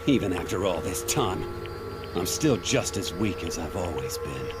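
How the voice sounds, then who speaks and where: A man playing a tough young gang leader in an anime video game, broken and remorseful, heavy and halting, under loud noise. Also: deep voice, big pitch swings. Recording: very noisy game audio.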